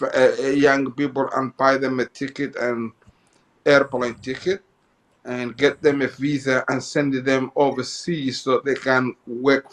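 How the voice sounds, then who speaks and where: A man from Somalia, a guest in an interview telling his life story, often halting and repeating phrases as he goes. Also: mid-range voice.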